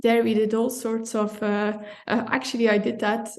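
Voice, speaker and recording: A woman from Belgium, a compliance director, talking through her work in a relaxed interview, often pausing and correcting herself with small laughs. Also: a little high. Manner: thoughtful, self-correcting, light laughs. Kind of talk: conversational interview.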